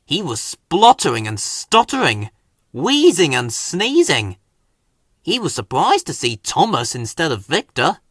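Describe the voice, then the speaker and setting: A man narrating a children's story in an expressive read-aloud style. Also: on the high side, a little slow, husky, no echo, clean studio sound.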